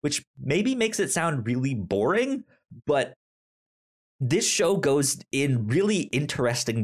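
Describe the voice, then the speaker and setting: A man hosting a podcast in a casual, chatty style, often catching and repeating sounds as he starts words. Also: medium pitch. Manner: frequent stammered sound repetitions. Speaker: podcast host.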